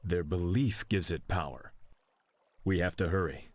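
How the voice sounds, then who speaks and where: A man's voice: low voice, a little slow, no room sound. A man playing a grim, determined young warrior lord in a video game cutscene, terse, stern and defiant. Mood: urgent. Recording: noisy game audio with insect ambience.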